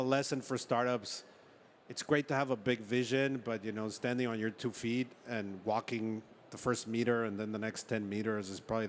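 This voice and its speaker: A man from Ecuador talking as a startup founder being interviewed, telling stories in an informal, halting way with frequent check-in words. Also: mid-range voice. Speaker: startup founder and chief executive, interview guest. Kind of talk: conversational storytelling in an on-stage interview. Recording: noticeable background noise, room ambience.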